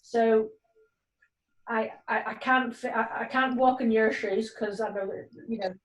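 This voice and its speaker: Woman cop talking earnestly on a zoom call, pretty flat delivery, sounds far off and roomy. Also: a little high, unhurried. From Nigeria and Northern Ireland. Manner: earnest, many ums and restarts. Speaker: police community cohesion sergeant. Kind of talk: video call talk. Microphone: video call. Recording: roomy, distant video call audio.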